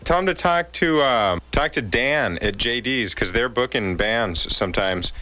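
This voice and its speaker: A man's voice: mid-range voice, expressive, measured pace, sharp tone, poor audio. A man working as a radio DJ, talking in playful classic rock patter and bantering with callers. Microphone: radio studio microphone. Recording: music behind the voice. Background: rock music.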